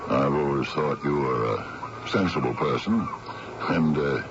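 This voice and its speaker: An actor playing a folksy, matter-of-fact older uncle in a radio drama, talking in a halting, unhurried way. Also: male voice, a bass voice, animated, over loud music, full character voice. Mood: earnest. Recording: dull radio recording with loud noise.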